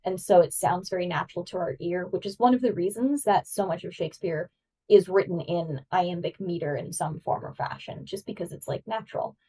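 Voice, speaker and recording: A woman's voice: on the low side, a little fast, mellow, far from the mic, a little hiss or hum, rough recording. A woman guest-hosting a podcast, explaining verse and meter with eager, nerdy enthusiasm. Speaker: guest host. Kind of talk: podcast discussion.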